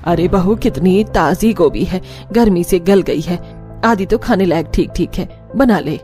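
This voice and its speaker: A woman's voice: fairly low pitch, expressive, a little slow, warm tone, soft background music, voice pushed down. A woman voicing a bossy, thrifty older mother-in-law in a cartoon family drama, with a matter-of-fact, commanding manner.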